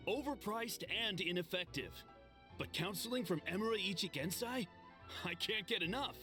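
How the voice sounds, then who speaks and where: A man's voice: sits high, lively intonation, normal speed, bright, forceful tone, soft background music. A man voicing a haughty, touchy young male devotee in an anime game, snobbish and gushing. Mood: smug, gushing. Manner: snobbish, gushing, easily offended.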